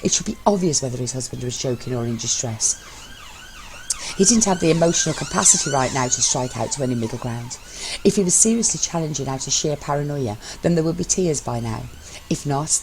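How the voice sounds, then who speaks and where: A woman's voice: low-pitched, darkish tone, slight background noise, rough recording. A woman reading fiction aloud as an amateur narrator. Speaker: amateur fan fiction reader. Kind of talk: scripted story narration.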